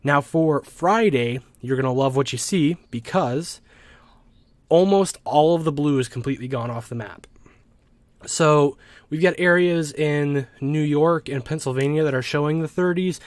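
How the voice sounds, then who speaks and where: A man's voice: on the high side, no echo. American man giving informal weather commentary, dry sound. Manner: halting, explanatory, with word repetitions. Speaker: weather forecast host.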